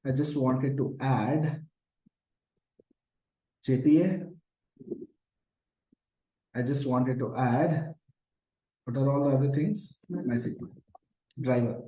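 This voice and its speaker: A hoarse man teaching a live online coding class, explaining patiently with many fillers like "okay" and "uh". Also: mid-range voice, fairly even intonation, takes it slowly, long pauses, warm tone. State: hoarse from shouting. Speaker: programming instructor. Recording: roomy echo.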